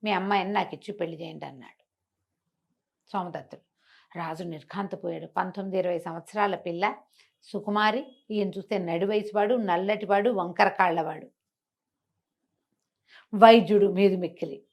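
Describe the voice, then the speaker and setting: A middle-aged woman telling a moral story in an interview, animated and acting out the characters' lines with a changing voice. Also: lowish voice, moderate pace, vocal fry, long pauses. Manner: expressive, acts out the characters' lines with shifting voice. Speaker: storyteller guest.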